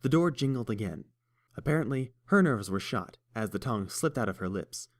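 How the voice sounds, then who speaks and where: A man reading fan fiction aloud in a measured storytelling manner. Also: mid-range voice, expressive. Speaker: audiobook reader. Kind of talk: fan audiobook narration.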